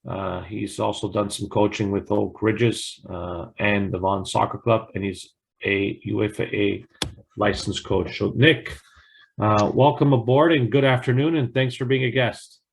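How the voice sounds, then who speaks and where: A man's voice: lowish voice, fairly even intonation, unhurried, gravelly, a little hiss or hum, rough recording. A man hosting an online interview, welcoming and introducing his guest, with a few fillers. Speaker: host.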